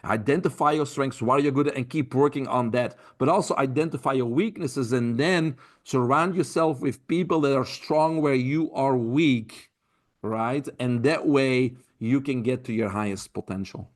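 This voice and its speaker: A man's voice: medium pitch, moderate pace. A man with a Belgian accent, a health mentor speaking earnestly as a podcast guest, often repeating words as he thinks. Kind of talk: podcast interview.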